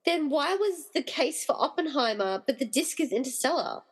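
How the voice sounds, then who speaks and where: Woman doing wry excitable rescuer gal, comedy audio drama, very animated, slightly high voice. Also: normal speed. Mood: wry, matter-of-fact. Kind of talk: amateur comedy radio play dialogue.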